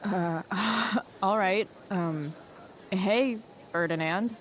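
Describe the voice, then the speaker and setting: A woman's voice: mid-range voice, very slow, far from the mic, slight background noise. A woman acting a sardonic, rebellious American teenage girl in a video game, with a dry, slangy delivery. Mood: wry, awkward. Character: sardonic rebellious teenage girl, a punkish high-school dropout.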